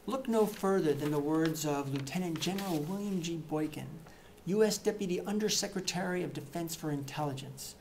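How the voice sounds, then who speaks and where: A middle-aged man reading satirical excerpts to camera in a deadpan, mock-earnest way, in a plain indoor room. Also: on the high side, slight background noise. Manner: mock-earnest, punchy, with gestures. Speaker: reader of satirical book excerpts.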